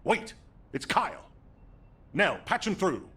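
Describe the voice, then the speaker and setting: An actor playing an earnest, anxious military commander in a video game, with a husky voice. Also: male voice, high-pitched, big pitch swings, slow, pushed and bright, exaggerated voice. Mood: urgent. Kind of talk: dramatic video game voice acting.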